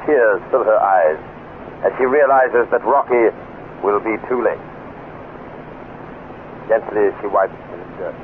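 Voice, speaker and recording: A man's voice: lowish voice, takes it slowly, long pauses. A man giving declamatory, melodramatic scripted narration as a 1950s radio serial announcer. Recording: muffled, noisy 1950s radio recording.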